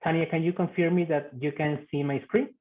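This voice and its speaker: A man's voice: fairly high pitch, fairly even intonation. Spanish-accented man on a tinny call. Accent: Mexican Spanish. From Mexico. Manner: halting, many "uh" fillers and repeated words. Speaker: guest speaker, physician. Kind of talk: online medical presentation. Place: video call. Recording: tinny phone-like video call audio.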